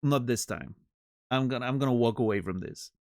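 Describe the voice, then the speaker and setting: A man's voice: mid-range voice, a little fast, no room sound, studio quality. A man streaming and chatting casually with his viewers, joking and rambling with many restarts. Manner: rambling, self-interrupting, joking. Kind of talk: casual chat with live chat.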